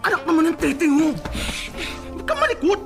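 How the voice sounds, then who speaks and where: Bossy impatient husband, voice jumps around a lot, radio drama with music under it. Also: male voice, extremely high pitch, very animated, fairly quick, vocal fry, exaggerated voice. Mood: insistent, impatient. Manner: insistent, demanding. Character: pushy, domineering, short-tempered husband.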